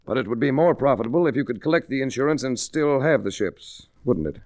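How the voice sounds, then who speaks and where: A man's voice: medium pitch, lively intonation, fast talker, darkish tone, dry recording, natural voice. An actor playing a wry, hard-boiled adventurer-investigator who narrates his own case in a 1940s radio drama. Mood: probing. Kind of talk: 1940s radio detective acting. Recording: restored 1940s radio recording.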